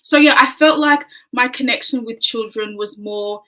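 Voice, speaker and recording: A woman's voice: fairly high pitch, moderate pace, pushed and bright, rough recording. A woman, an early childhood educator, talking modestly and casually as a podcast guest over a video call. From Australia. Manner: modest, friendly, many "um"s. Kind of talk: casual interview chat.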